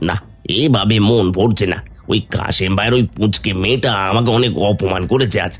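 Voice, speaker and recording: A man's voice: lowish voice, slightly rough. A man voicing a greedy, spiteful neighbour in a Bengali cartoon, wheedling and blustering. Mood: scheming, vengeful. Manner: whiny, wheedling, blustering, drawn-out interjections. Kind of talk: Bengali fairy tale cartoon dubbing. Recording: music under the voice.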